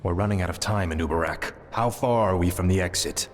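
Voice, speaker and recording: A man's voice: deep voice, fairly quick, natural voice. A man playing a cold, arrogant fallen prince turned death knight in a video game, commanding, impatient and sardonic. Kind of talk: video game cutscene acting.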